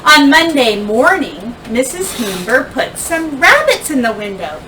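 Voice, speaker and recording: Woman teacher doing a storybook read-aloud, bright voice, big dramatic pauses, kinda far from the mic w some faint noise. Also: high voice, very animated. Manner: deliberate storyteller phrasing with dramatic pauses and emphasis. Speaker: teacher reading a picture book aloud.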